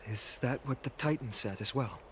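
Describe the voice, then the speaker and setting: A man playing an earnest young heroic swordsman in an anime-style game, smooth, polite and sincere in manner. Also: medium pitch, normal speed, background noise, natural voice. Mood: grave, determined.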